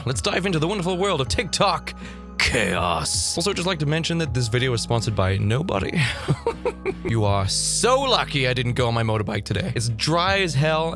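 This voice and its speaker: A man with a Northern Irish accent and a slightly hoarse voice, chatting animatedly as he reacts to clips at a desk mic in his streaming room. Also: fairly high pitch. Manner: animated, quick asides, frequent laughter. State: slightly hoarse from vocal fatigue. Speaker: goth reaction video host. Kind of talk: casual reaction commentary. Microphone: desk mic on boom arm.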